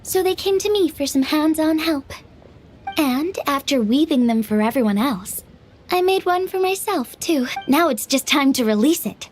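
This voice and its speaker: A woman's voice: sits high, expressive, moderate pace, darkish tone, slight background noise. A woman playing a young, industrious, chatty character in a video game. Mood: bright, chatty.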